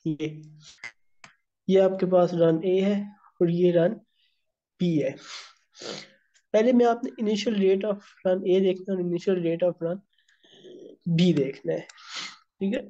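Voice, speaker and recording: A man's voice: high voice, takes it slowly, pauses often, warm tone, muffled recording. A man teaching chemistry over an on-screen worksheet, working through problems step by step. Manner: explains step by step, addresses students as beta, mixes English terms into Hindi. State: slightly sore throat. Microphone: voice-over on screen recording.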